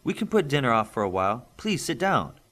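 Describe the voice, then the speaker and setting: A man's voice: medium pitch, very animated, a little fast, a bit bright, pitched above the performer's own voice. A man reading the part of a courteous, protective father with an emphatic, questioning manner in an audiobook.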